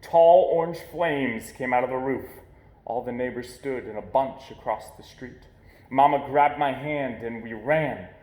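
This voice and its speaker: A man reading a children's picture book aloud in an expressive storytelling style, pausing deliberately for emphasis. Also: medium pitch. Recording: echoey, roomy sound.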